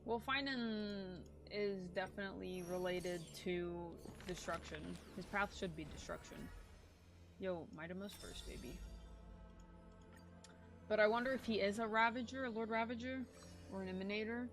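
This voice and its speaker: A woman's voice: medium pitch, normal speed. A woman game streamer giving blunt, casual livestream reaction commentary, swearing freely. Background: video game music.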